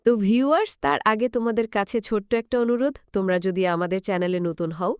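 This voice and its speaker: A woman's voice: medium pitch, expressive, fast, clean tone, no room sound, clean studio sound. A woman reading a scripted request to channel viewers. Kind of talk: scripted channel promo read.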